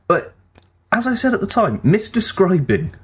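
A man's voice: high voice, big pitch swings, moderate pace, a little hiss or hum. A man chatting casually as a podcast host, with a wry, pedantic way of putting things. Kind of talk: casual gaming podcast chat.

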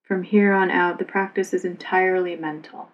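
A woman yoga instructor leading a guided relaxation meditation in a calm, soothing, unhurried manner. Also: fairly low pitch, lo-fi sound.

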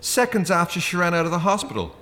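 An actor in a radio play playing a stern, knowing spirit guide with an Irish accent and a wry, pointed, reproachful delivery. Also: male voice, high voice, very animated, quick pace, bright, forceful tone, full character voice. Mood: stern accusing.

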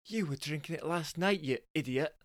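An exasperated, hungover Scottish girlfriend, acted by a man, pitched well above his own voice. She whines and scolds in a Scottish accent, as a comic story character. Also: moderate pace, dry recording, studio quality, natural voice. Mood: weary, pleading. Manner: whining, scolding.

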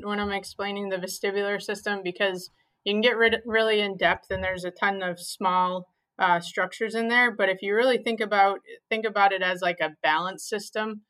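A woman's voice: medium pitch, fairly bright tone, rough recording. A young woman from Maine, a physical therapist, answering interview questions plainly with frequent "um"s. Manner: plain, many "um"s, simplifies explanations.

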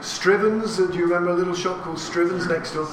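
A man in his sixties with an English accent talks to a live audience, his voice sitting a little high for a man. The sound is roomy and recorded from some distance, with audience noise behind him. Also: steady tone, quick pace. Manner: chatty and anecdotal, with asides and questions to the audience. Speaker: speaker giving a talk. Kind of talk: talk to a live audience about family history. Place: hall with an audience.

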